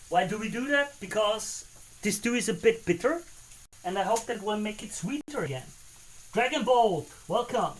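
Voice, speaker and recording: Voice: medium pitch, a little hiss or hum, rough recording. Chatty cooking streamer. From Austria. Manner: chatty, greets and answers viewers between cooking remarks. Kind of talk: casual live-stream chat while cooking.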